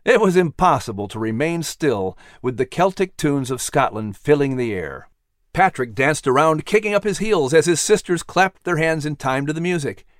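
A man narrating a story in an animated, dramatic, expressive style. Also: fairly high pitch, moderate pace, dry recording, clean studio sound. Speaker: audiobook narrator.

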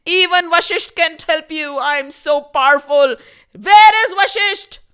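A woman's voice: very high-pitched, a little slow, a bit bright, pitched above the performer's own voice. A woman voicing a boastful, vengeful sage-king who mocks and gloats, with cackling laughs, in a storybook tale. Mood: gloating.

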